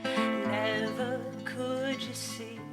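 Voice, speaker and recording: A woman's voice: on the high side, steady tone, extremely slow. A woman singing a musical theater song live in a barn, accompanied by plucked guitar. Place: barn, small live performance. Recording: reverberant live barn recording.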